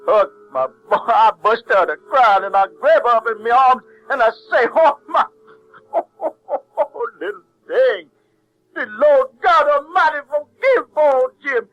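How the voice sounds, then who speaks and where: A male actor playing a remorseful runaway slave and father, telling his story haltingly in a folksy American Southern dialect. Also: extremely high pitch, expressive, muffled recording. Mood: weeping, anguished. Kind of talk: dramatic monologue in audio drama. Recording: 1970s audio drama with music.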